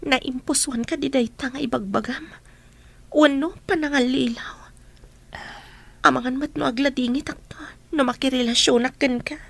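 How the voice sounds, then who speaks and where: A young working-class elder sister in a radio drama. Her voice is high, in a very dry studio sound, earnest and caring as she talks of love. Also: steady tone, normal speed, vocal fry, no room sound. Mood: doubtful, moved. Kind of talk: Ilocano radio drama acting.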